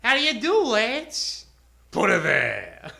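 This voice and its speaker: Radio play clown: high, bright voice, flowery and mischievous, theatrical delivery. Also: male voice, huge pitch swings, creaky, heavily acted. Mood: wistful, courtly. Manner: slow, theatrical, flowery phrasing. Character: tall sad-eyed male circus clown, courtly and teasing. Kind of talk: live radio drama acting. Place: theater stage.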